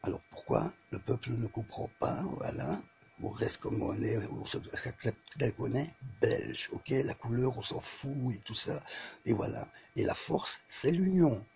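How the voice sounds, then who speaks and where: Gravelly, insistent man. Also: mid-range voice, expressive, normal speed, soft background music. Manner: insistent, repetitive, mixes in French phrases. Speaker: vlogger. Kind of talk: opinionated monologue to camera.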